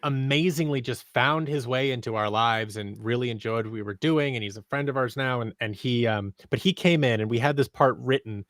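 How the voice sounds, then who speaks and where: A man in his thirties giving conversational interview answers as a podcast guest, talking in a halting, rambling way that often interrupts itself. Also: medium pitch, fairly bright tone, dry recording. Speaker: podcast guest, audio drama creator. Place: small home office, indoors. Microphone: close boom-arm microphone on a video call.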